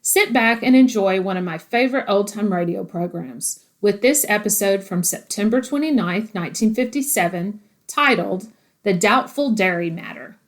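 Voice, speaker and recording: A woman's voice: medium pitch, lively intonation, moderate pace. A woman reading a scripted introduction as a programme host.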